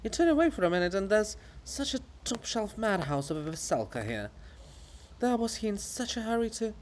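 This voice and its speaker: Man playing a jeering gossipy street chorus, swooping high. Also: a little fast, a little hiss or hum. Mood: gossipy, teasing. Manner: jeering, excitable, taunting. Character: mocking, gossiping street chorus of living monuments. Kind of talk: theatrical dramatic reading.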